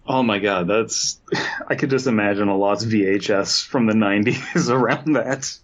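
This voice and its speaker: A man's voice: on the low side, normal speed. A man co-hosting a podcast, chatting casually about games with dry jokes. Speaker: podcast co-host. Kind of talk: casual gaming chat.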